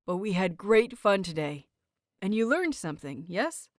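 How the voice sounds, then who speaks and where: A woman's voice: medium pitch, sing-song, moderate pace, no room sound, lightly acted. Woman as a cheerful young-hearted male teacher, light teasing manner, slightly bashful, audiobook dialogue, dry sound. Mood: warm, friendly.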